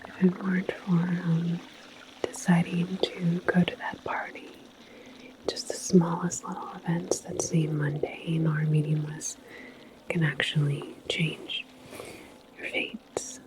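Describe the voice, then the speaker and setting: Woman whispering slowly in sci-fi ASMR. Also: fairly low pitch, level tone, low-quality recording. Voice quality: soft, breathy whisper. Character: calm, philosophical attendant at a futuristic portal station. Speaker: ASMR roleplayer playing a transit station attendant.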